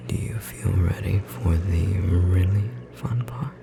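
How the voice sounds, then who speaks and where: A performer voicing a tender magical bookstore clerk in an ASMR roleplay, whispering softly and gently encouraging a beloved partner, with a slightly rough edge. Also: sits low, slow, over loud music, exaggerated voice. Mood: gentle, guiding. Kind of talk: ASMR roleplay, intimate whispered second-person acting.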